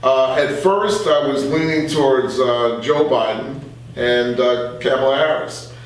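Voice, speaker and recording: A middle-aged man speaking as an interview guest, conversational but earnest, in a halting way with repeated phrases. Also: medium pitch. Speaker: radio journalist interview guest. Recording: very echoey room, far from the mic.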